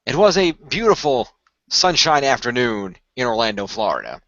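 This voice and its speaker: A man's voice: medium pitch, big pitch swings, moderate pace, fairly bright tone, dry recording, lo-fi sound. A man hosting and narrating a radio play informally, hesitant and improvising, often restarting his phrases. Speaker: host and narrator.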